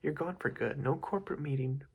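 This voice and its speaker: A man's voice: a little high, animated, brisk, heavily acted. A man voicing a laid-back, rambling young male office boss in a whispered ASMR roleplay, close to the mic, with a casual, repetitive way of talking. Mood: exasperated. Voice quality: whispered, breathy. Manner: casual, rambling, repeats himself.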